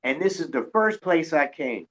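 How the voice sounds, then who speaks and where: A man's voice: on the high side, animated, normal speed. A man hosting a radio show, chatting casually in a folksy, colloquial storytelling way. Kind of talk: casual interview chat.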